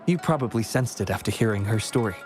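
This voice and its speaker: A man's voice: on the low side, expressive, fast, lightly acted. An actor voicing a considerate, well-mannered young man in a video game, speaking politely and earnestly. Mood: reflective, earnest. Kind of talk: scripted video game voice acting. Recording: music playing behind the voice. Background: game music.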